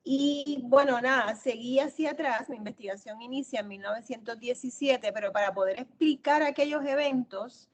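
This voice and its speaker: High-voiced middle-aged woman from Cuba, emphatic. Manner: emphatic, repeats phrases for stress. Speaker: interviewed author and historian. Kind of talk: interview answers, explanatory account.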